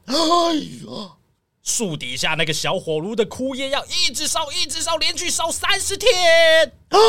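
A stubborn old villager from a children's audio story. The voice is high and sharp, swinging widely in pitch. Speech comes in emphatic, scolding exclamations. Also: heavily acted. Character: stubborn, excitable old townsperson devoted to frugality. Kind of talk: children's audio drama acting.

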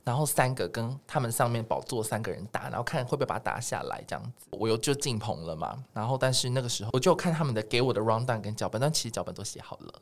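A man with a Taiwanese Mandarin accent chatting casually as an interview guest, in an animated manner. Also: mid-range voice, quick pace, few pauses. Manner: animated, breaks often into laughter. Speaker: podcast guest, music producer.

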